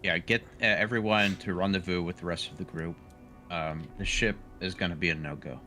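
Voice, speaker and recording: A man's voice: low-pitched, steady tone, brisk, bright, forceful tone, faint music behind. A man chatting casually as a player in a tabletop game. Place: online voice call.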